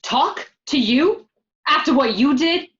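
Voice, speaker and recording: A woman's voice: high-pitched, lively intonation, slow, a bit bright, highs cut off, exaggerated voice. A woman playing a gangster's forthright young girlfriend in a read-through of a film script. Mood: indignant.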